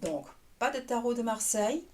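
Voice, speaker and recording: A woman with a Luxembourgish accent, a native Luxembourgish speaker, introducing herself on her channel as a tarot reader and pausing often to find her French words. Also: fairly high pitch, lively intonation, mellow. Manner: hesitant, searching for French words with restarts. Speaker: tarot reader and energy worker. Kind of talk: casual YouTube introduction.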